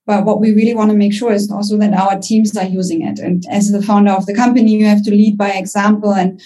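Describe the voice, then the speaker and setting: A woman's voice: mid-range voice, level tone, quick pace, warm tone. A young woman with a German accent, a company founder speaking confidently as a guest on a business podcast. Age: young adult.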